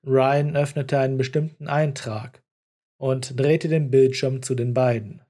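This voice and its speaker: Mellow male audiobook narrator. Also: mid-range voice, steady tone, a little slow. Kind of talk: scripted story narration.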